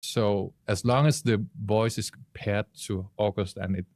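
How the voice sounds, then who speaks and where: A man's voice: on the low side, fairly even intonation, a little slow, darkish tone, dry recording, studio quality. A man on a podcast panel, reasoning aloud and giving his opinions conversationally.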